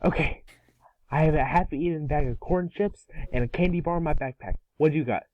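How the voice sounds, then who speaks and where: A man playing a sulky, sarcastic and excitable boy in a cartoon script read. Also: a little high, a little fast, dry recording, dull audio, natural voice. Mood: grumbling. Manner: sarcastic, grumbling.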